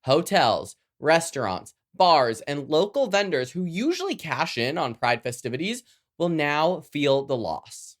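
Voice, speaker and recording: A Canadian podcast host with a very lively, swooping delivery at a middle pitch. The manner is sassy and playful, moving between scripted news reading and chatty, campy asides. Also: a little slow.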